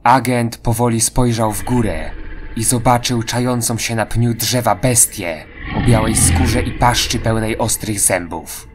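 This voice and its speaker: A man's voice: medium pitch, measured pace, music in the background. A man narrating a scripted horror story as an audio drama.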